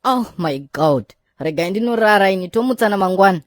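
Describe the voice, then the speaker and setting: A woman's voice: medium pitch, animated, fairly quick, dry recording, clean studio sound, close to an everyday voice. A woman voicing a fed-up, no-nonsense woman in a comedy cartoon, blunt and weary.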